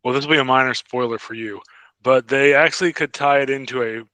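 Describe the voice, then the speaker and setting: A man's voice: mid-range voice, steady tone, quick pace, pushed and bright, no echo. A man co-hosting a podcast in casual fan chat, keen and full of his own opinions. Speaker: podcast co-host.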